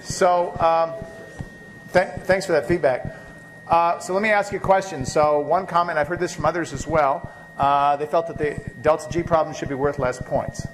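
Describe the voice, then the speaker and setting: Man, professor, slightly high voice, coming down with a cold, loose lecture style, noisy lecture tape. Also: fairly even intonation, moderate pace. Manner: loose, rambling, many fillers and restarts. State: catching a cold, occasional coughs. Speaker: biochemistry professor. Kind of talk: university lecture. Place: lecture hall.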